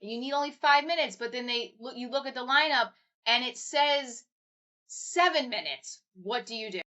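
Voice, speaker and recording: A woman's voice: a little high, normal speed, pushed and bright. A woman hosting a casual livestream chat, asking loose, rambling questions with a self-deprecating streak. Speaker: livestream host. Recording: dull lo-fi stream audio.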